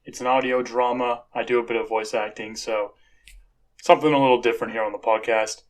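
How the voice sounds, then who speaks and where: A man's voice: medium pitch, steady tone, a little fast. A man hosting a podcast, giving a casual spoken introduction. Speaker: podcast host.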